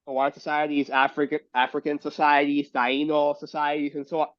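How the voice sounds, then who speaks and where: A sick man with a raspy voice, reading a letter aloud and talking in a casual group discussion with many restarts. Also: on the high side, level tone, a little fast, highs cut off, a crowd in the background. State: sick, coughing.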